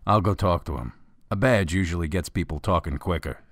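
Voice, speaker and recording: An actor playing a practical, wearily doubtful police officer in a radio drama, with a casual, blunt, wry delivery. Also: male voice, sits low, a little fast, a bit bright, no echo, clean studio sound.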